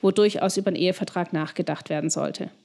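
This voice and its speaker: A woman as the guest expert in a podcast interview, explaining financial planning in a measured way with frequent hesitation fillers. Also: fairly low pitch, vocal fry, a little mellow.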